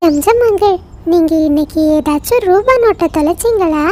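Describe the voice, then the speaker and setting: A woman's voice: very high-pitched, animated, normal speed, dark tone, slight background noise. A woman voicing an earnest, polite young girl bird in a children's cartoon. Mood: polite, curious. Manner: polite, questioning, firm. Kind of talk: children's cartoon story acting.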